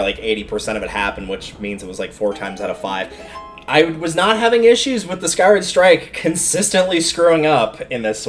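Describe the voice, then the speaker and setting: A man giving casual, chatty, opinionated live commentary as he streams a video game, rambling off into asides. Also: mid-range voice. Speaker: game livestreamer.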